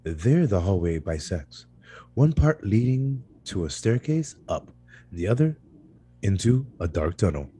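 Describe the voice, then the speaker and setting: A man with a very low, husky voice reads screenplay stage directions at a table read. His tone is dark and mellow, yet his pitch moves around in a lively way. He now and then trips on a word and corrects it. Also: a little slow. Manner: stumbles over words and corrects himself.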